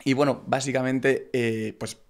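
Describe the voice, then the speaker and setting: A young man from Madrid with a Spain Spanish accent, chatting as a podcast guest. He talks into a close studio mic in a relaxed way, with many fillers and restarts. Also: mid-range voice, measured pace. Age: young adult. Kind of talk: casual interview chat. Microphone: broadcast mic on arm, close.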